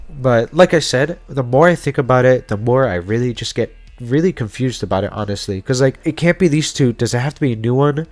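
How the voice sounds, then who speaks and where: A man's voice: mid-range voice, a little mellow. A man giving casual explainer commentary as a video host, talking through theories in an informal, speculative way. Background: background music.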